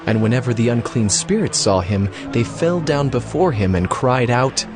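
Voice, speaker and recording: A man narrating scripture as a solemn story, with clear phrasing, in a dramatized audio reading. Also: medium pitch, expressive, moderate pace. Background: music.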